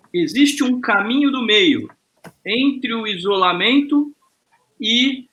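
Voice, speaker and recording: A man hosting an informal web panel discussion over a video call, relaxed and lightly joking, with frequent hesitant fillers. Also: a little high. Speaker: host.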